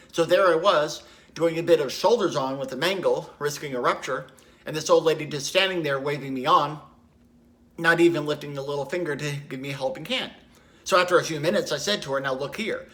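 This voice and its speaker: A man's voice: fairly high pitch, fairly bright tone, voice pushed up, lightly acted. A man playing a chatty, mock-polite but menacing working-class man in a theatrical monologue. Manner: conversational, digressive, mock-polite.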